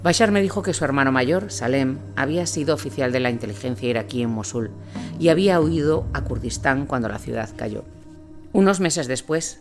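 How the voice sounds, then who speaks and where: A man narrating a scripted audio drama as a war reporter, in a measured, solemn storytelling manner. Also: medium pitch, lightly acted. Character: male war reporter recounting grim events in Iraq. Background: music bed.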